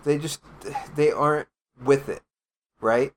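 A man's voice: on the high side, steady tone, takes it slowly, a little hiss or hum. A young man ranting loosely in a casual group podcast, full of "like" and swearing. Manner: rambling, profane, lots of "like".

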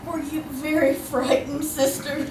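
A woman's voice: high-pitched, little pitch movement, a little mellow, a little hiss or hum. An actress playing a horrified, grieving woman facing a killer, in anguished old-time radio horror style. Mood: dazed, mournful. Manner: slow, anguished, melodramatic delivery. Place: live theatre stage performance. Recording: echoey live stage recording, distant mic, music.

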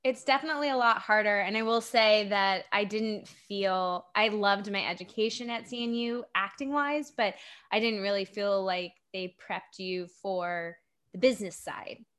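A woman's voice: mid-range voice, moderate pace, fairly bright tone, smooth. A woman in her thirties, raised in Japan, chatting as a guest on an interview show, chatty and self-deprecating with frequent laughs. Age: 30s. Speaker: guest, actor and filmmaker. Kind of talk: casual interview chat.

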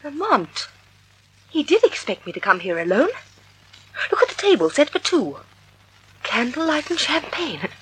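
A woman's voice: sits high, big pitch swings, measured pace, long pauses, fairly bright tone, full character voice, rough recording. An actress playing a sophisticated, inquisitive woman sidekick with an arch theatrical delivery in a vintage radio mystery. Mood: alarmed. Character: sophisticated, playful, inquisitive woman, the sleuth's companion. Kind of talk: 1940s radio acting. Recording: old radio broadcast recording.

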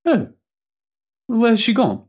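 An actor playing a restless, sensitive young Victorian gentleman in a stage play, hesitant and politely mannered. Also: very high voice, wildly swooping pitch, slow pace, husky, dull audio, full character voice. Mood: flustered, polite. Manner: hesitant, polite, mannered upper-class diction. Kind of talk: period stage-play acting.

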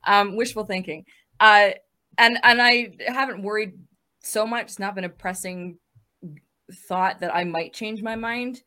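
A woman's voice: medium pitch, steady tone, measured pace, pushed and bright. A woman in her thirties moderating a casual online panel, chatty and upbeat, laughing easily between questions. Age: 30s. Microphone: video call.

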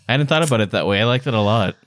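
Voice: medium pitch, expressive, rapid-fire, fairly bright tone, no room sound. A podcast co-host talking casually and playfully in an informal book discussion.

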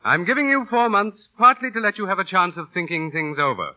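A stern but fair judge in a vintage radio play. He speaks formally, in a slightly high voice with wide rises and falls. The sound is thin, narrow old broadcast audio. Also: expressive, brisk. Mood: stern, measured. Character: stern but fair court magistrate passing sentence. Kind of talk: 1930s-40s radio drama acting.

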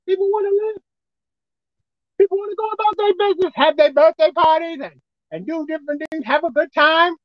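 A man with a squeaky voice preaching a sermon as pastor, emphatic and forceful. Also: fairly quick, no room sound, highs cut off. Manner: emphatic, pitch leaping up and down.

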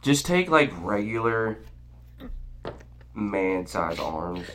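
A man's voice: fairly low pitch, very animated, very slow, fairly bright tone, slight background noise. An American man as a podcast guest, chatting in profane slang with short, emphatic, trash-talking bursts. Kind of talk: casual, slangy, profane chat.

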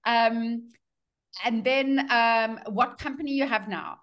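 A woman's voice: a little high, fairly even intonation, slow pace, a bit bright. A woman hosting a podcast interview in an enthusiastic, chatty way. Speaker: podcast host.

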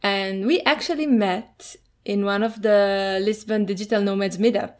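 Female interviewer, casual and warm in manner, loose chatty phrasing with fillers. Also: mid-range voice, steady tone, moderate pace. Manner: friendly, laughs easily, many fillers.

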